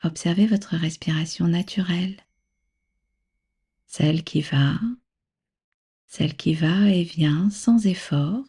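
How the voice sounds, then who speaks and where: A woman with a soft, breathy voice leading a guided relaxation as a yoga nidra instructor, soothing, unhurried and gently instructing. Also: on the low side. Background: background music.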